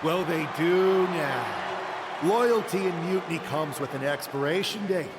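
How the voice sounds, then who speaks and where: A man's voice: fairly high pitch, a little slow, close to an everyday voice. An actor playing a cocky male wrestler in a video game, goading rivals with a punchy promo. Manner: punchy, provoking. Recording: noisy, long-echoing arena sound.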